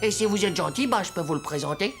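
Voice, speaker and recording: A woman voicing a cocky, excitable, gullible cartoon schoolboy in a brash, slangy, emphatic way. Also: mid-range voice, fast, lightly acted. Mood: pleading, boastful. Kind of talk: cartoon voice acting. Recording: music behind the voice.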